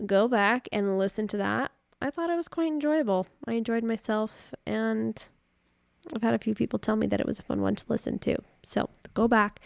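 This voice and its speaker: A woman hosting a podcast from her home, chatty and upbeat, her voice a little raspy after a cold. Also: a little high, no echo, clean studio sound. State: recovering from a cold. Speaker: podcast host. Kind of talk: casual podcast chat.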